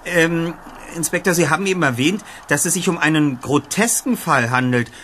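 A man's voice: fairly high pitch, normal speed, a bit bright, slight background noise, close to an everyday voice. A man playing a self-assured, eloquent boy detective in an audio drama, speaking precisely and formally. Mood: businesslike, curious. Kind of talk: German audio drama acting and narration.

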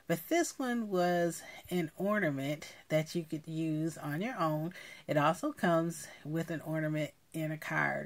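Female craft vlogger, low and slow, casual and halting in her explanations. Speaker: craft video host. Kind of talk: casual craft project showcase.

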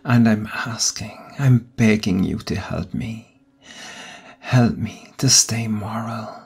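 Brooding vampire lover, acted by a man, very breathy whisper, slow intimate delivery, pleading, seductive, ASMR audio drama. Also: fairly low pitch, a little mellow, exaggerated voice. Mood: anguished, pleading.

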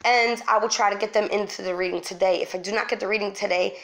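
A woman's voice: mid-range voice, steady tone, fast, a bit bright, low-quality recording. A woman chatting casually to her online followers, informal and repeating words for emphasis, sick and losing her voice. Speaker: online video host. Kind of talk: casual chat to followers, commentary.

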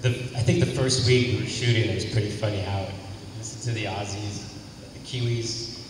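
A man's voice: lowish voice, steady tone, brisk. A man on a convention panel, telling stories in a playful, teasing way through a handheld mic. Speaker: panel guest actor. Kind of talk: casual convention panel talk. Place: large convention hall panel, indoors. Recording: echoey hall, far from the mic, loud crowd noise.

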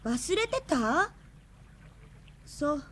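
A woman's voice: sits high, big pitch swings, extremely slow, heavily acted. An actress playing a wistful, girlish young woman in a radio drama. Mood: hurt. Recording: clear background ambience noise.

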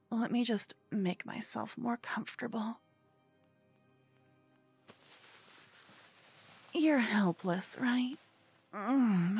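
A woman's voice: medium pitch, a little mellow, slightly rough, full character voice. A woman playing a cute, obsessed, scheming yandere con woman in an ASMR roleplay, coy and cooing, often slipping into whispers. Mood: cooing, gloating.

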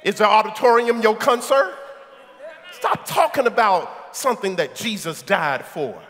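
Middle-aged male preacher, high gravelly voice, loud sermon with asides to the pews, echoey sanctuary. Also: big pitch swings, unhurried. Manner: preaching, shouting at peaks, quips to the congregation. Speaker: pastor. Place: large church sanctuary. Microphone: headset mic. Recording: echoey sanctuary with congregation and organ. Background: congregation and soft music.

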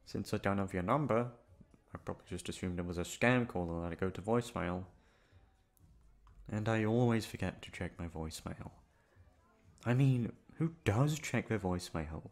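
Man acting a low, creaky, bashful young playboy. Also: animated. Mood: sheepish, casual. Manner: halting, stammering, bashful. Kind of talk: romantic ASMR roleplay acting.

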